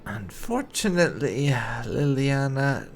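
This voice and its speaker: A man hosting a let's play, giving commentary in halting, drawn-out phrases. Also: mid-range voice, big pitch swings, slight background noise. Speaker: gaming channel host.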